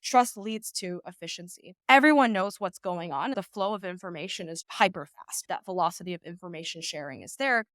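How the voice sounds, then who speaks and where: Low-voiced reflective woman founder. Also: a little fast, few pauses, fairly bright tone, no echo, studio quality. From United States. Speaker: startup founder and CEO, guest. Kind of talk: podcast interview.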